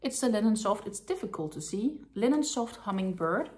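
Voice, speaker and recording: A woman's voice: medium pitch, animated, moderate pace. Chatty Danish-accented woman with frequent ums. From Denmark. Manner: chatty, many ums and likes, drops to a soft murmur while handling yarn. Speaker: crochet vlogger. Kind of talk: casual unboxing show-and-tell.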